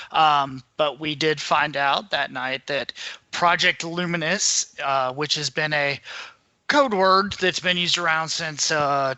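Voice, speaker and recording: A man's voice: on the high side, pushed and bright, no room sound. A man co-hosting a podcast, giving an informal, casual news rundown with some hedging. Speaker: podcast co-host.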